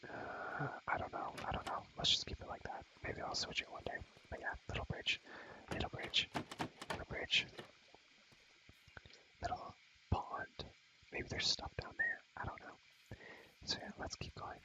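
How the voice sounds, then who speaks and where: A man's voice: measured pace, pauses often, pushed and bright, low-quality recording. A man whispering breathily in a casual ASMR style as he shows a game, rambling and repeating phrases over and over. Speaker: ASMR content creator.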